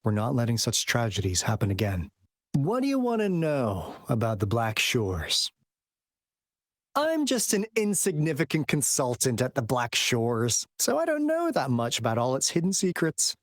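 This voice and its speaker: Breezy, animated secretive consultant. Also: male voice, mid-range voice, a little slow, no echo, studio quality. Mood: coy, persuasive. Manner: smooth-talking, playful, theatrical. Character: breezy, theatrical young male secretive consultant. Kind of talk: anime game voice acting.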